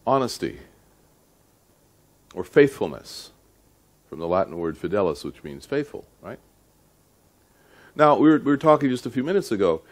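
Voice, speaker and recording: A middle-aged man lecturing at a seminar in a deliberate, emphatic but conversational way, often checking in with his listeners. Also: mid-range voice. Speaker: audio engineering lecturer.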